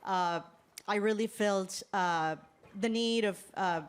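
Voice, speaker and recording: A woman's voice: mid-range voice, takes it slowly, clear, resonant tone. Woman musician, gracious and expansive, live stage interview. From Venezuela. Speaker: band leader, percussionist.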